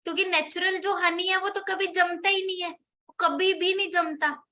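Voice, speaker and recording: High bright-voiced young woman selling. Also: steady tone, normal speed, a little hiss or hum. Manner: chatty, persuasive, asks the listener questions. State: slightly sore throat from a cold. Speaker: product seller explaining products. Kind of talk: persuasive product talk.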